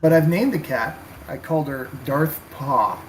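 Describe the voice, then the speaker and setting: A man's voice: a little high, lively intonation, unhurried, soft background music, poor audio. A man talking casually about wargaming while he paints, rambling and hesitant, with many fillers. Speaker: wargaming hobbyist.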